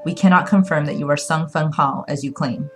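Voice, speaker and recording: A woman's voice: low-pitched, fairly quick, faint music behind, close to an everyday voice. A woman voicing a formal, unyielding male immigration official speaking through a translator in an audiobook. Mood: stern, official.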